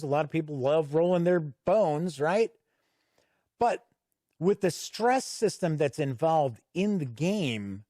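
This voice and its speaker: Higher-voiced man giving a casual product walkthrough. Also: darkish tone, no echo, studio quality. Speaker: host of a tabletop game review show. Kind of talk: unscripted review commentary while paging through a product.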